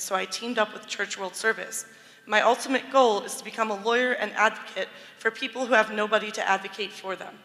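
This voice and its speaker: A woman's voice: mid-range voice, pushed and bright. A woman presenting a scholarship and reading quotes from a student's essay in a large church. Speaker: awards presenter. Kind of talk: reading award citations. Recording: reverberant church hall.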